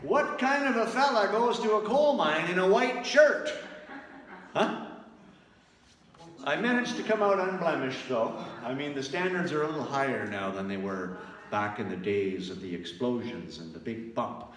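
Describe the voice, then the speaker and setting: A man's voice: on the high side, expressive, moderate pace, a bit bright. A man, a touring singer, chatting and joking casually with his audience between songs. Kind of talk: casual stage banter. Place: indoor live show venue. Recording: echoey hall, distant mic, music behind.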